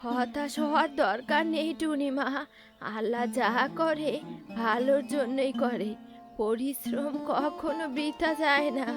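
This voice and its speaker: A brave mother bird from a cartoon, acted by a woman, with a high voice. She sounds earnest, hopeful and motherly. Soft music sits faintly behind her. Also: a little slow. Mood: reassuring. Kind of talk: cartoon character acting.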